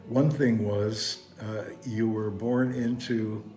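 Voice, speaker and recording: Measured older man, low voice. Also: fairly even intonation, a little mellow. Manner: measured, hesitant, with fillers. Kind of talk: casual chat. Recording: echoey room with faint music.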